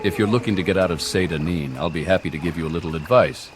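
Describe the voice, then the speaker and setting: A brisk townsman from a fantasy video game, acted by a man, speaks in a low voice and at a quick pace. He is businesslike and helpful, with faint noise behind him. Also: natural voice. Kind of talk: video game character dialogue.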